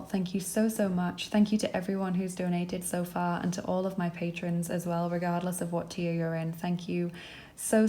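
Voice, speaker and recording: A young woman with a croaky, dry throat giving a pick-a-card tarot reading at a table indoors, soft and reassuring with many fillers. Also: on the low side. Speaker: tarot reader.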